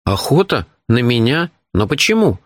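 Man playing a husky, animated, baffled hero. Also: mid-range voice, quick pace, no echo, clean studio sound. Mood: baffled, alarmed. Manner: lively, colloquial, questioning. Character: excitable, wry male hero, easily baffled. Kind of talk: audiobook character voice.

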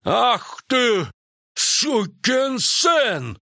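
A man playing hot-headed island warrior, coarse, bellowing, raised pitch, forceful tone, fantasy audiobook. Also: big pitch swings, very slow, vocal fry, dry recording, clean studio sound, heavily acted. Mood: enraged. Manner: bellowing, insulting.

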